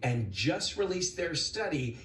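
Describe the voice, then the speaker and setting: Higher-voiced man reporting, roomy sound. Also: big pitch swings, a little slow, a bit bright. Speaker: investigative TV reporter. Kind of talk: scripted news narration. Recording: roomy, distant sound with faint noise.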